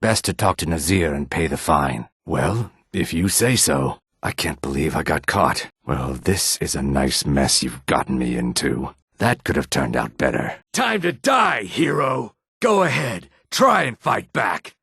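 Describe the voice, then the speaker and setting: A reptilian assassin from a fantasy video game, speaks from deep in his register. His voice is rough and husky, with deliberate phrasing. The sound is close and dry, with no room around it. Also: sing-song, fairly bright tone, dry recording, full character voice. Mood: calm, dry, then menacing, taunting. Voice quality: husky, rasping. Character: low-voiced male reptilian Argonian assassin and outlaw. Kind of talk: video game character dialogue.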